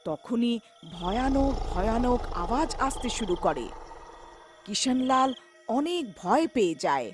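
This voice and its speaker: A woman's voice: a little high, big pitch swings, a little slow, over music. A woman narrating a scripted children's horror folktale as the storyteller.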